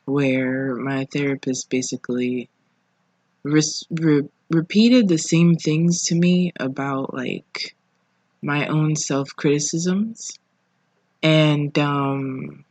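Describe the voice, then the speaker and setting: A podcast host speaking casually alone, in an informal, reflective way with pauses between phrases. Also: medium pitch, no echo. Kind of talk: casual solo podcast monologue. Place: living room at home.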